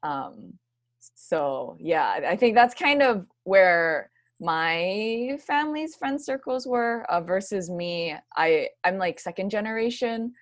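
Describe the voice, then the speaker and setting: A young woman from Hawaii, a graduate student, talking in a friendly, relaxed way as a guest over a video call. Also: mid-range voice, slow, no room sound, studio quality.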